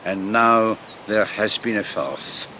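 A man's voice: fairly low pitch, lively intonation, takes it slowly, sharp tone, a little hiss or hum, full character voice. An actor playing a shrewd, vain older male detective with a Belgian French accent and a courtly, teasing, precise manner in a radio drama. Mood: grave.

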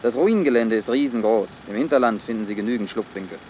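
An actor playing a cynical, hard-headed man in a German science-fiction radio play, blunt and deliberate as he explains. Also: on the low side, a little mellow, slight background noise, natural voice. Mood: cold, explanatory. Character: cynical, hard-headed male official defending his orderly world.

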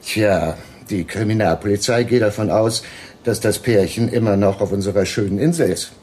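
An actor playing a folksy, apologetic small-town police sergeant in a radio drama, with a hesitant, roundabout way of talking. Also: male voice, lowish voice, normal speed, a little mellow, a little hiss or hum, natural voice. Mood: chatty. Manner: hesitant, roundabout, colloquial, chatty.

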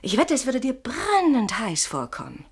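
An actress playing a sleepless, needling wife in a German radio play, probing with theatrical, ironic sweetness. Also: female voice, a little high, huge pitch swings, normal speed, husky, full character voice. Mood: insinuating.

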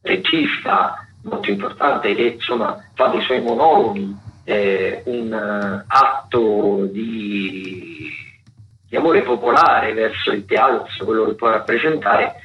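A man's voice: on the low side, animated, takes it slowly. A man presenting theatre listings on radio in a semi-improvised, stop-and-start way, often repeating words. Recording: muffled, noisy community radio broadcast.

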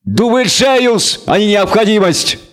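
High-pitched bleating goat devil. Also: male voice, animated, measured pace, a bit bright. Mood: indignant. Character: indignant bleating horned goat devil, defiant and quarrelsome.

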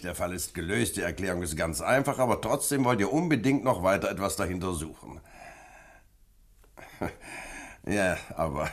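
An actor playing an authoritative government minister in a German radio play, self-assured and slightly condescending, genial but impatient. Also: male voice, deep voice. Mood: brisk, patronising.

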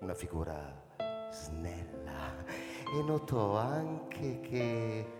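A man's voice: lowish voice, very animated, drawn-out words, long reverb tail. A man reading scripted story narration for radio in a theatrical, dramatic manner. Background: music, clearly heard.

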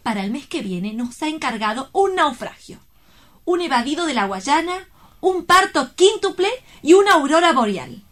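An actress playing an excitable, romantic young female reporter, dramatic and gushing in a theatrical comedy. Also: high voice, moderate pace. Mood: pleading. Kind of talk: theatrical stage-comedy acting.

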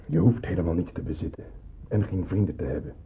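Low-voiced, persuasive older resistance man. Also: fast, gravelly, husky, exaggerated voice. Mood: earnest, pleading. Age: middle-aged. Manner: earnest, gently persuasive. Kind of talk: radio drama acting. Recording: muffled, narrow-band old radio play, hissy.

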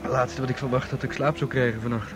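A man's voice: medium pitch, level tone, fast talker, natural voice. A man playing a sleepy, doubtful getaway driver in a naturalistic radio drama. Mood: weary, doubtful. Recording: noisy radio drama recording.

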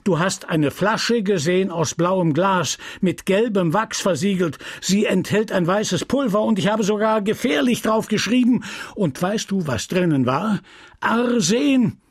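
A man voicing a pompous middle-aged pharmacist in a furious, breathless rage, with a husky voice. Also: animated, measured pace, no room sound, studio quality, exaggerated voice. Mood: furious ranting. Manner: ranting, shrill, breathless tirade.

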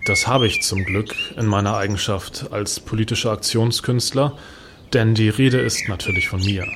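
A man playing a self-righteous political action artist in a satirical radio play, pompous and self-justifying in manner. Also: fairly low pitch. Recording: clear background noise with birdsong.

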